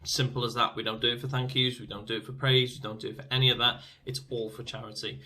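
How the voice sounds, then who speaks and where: A man's voice: mid-range voice, level tone, fast, pushed and bright. A man giving a personal testimonial as a member of a charity theatre company. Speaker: amateur pantomime company member. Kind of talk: testimonial to camera.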